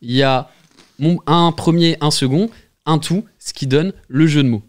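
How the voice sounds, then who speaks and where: A man's voice: fairly high pitch, normal speed. A young man hosting a casual quiz podcast, explaining the rules at length and laughing often. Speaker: podcast host running a quiz. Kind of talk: casual chat among friends.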